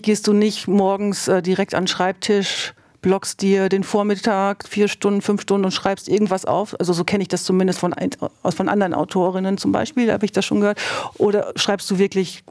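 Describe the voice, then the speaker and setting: A woman's voice: medium pitch, darkish tone, dry recording, studio quality. A woman hosting a show, asking casual, conversational questions that she often rephrases halfway through. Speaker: radio show host, interviewer.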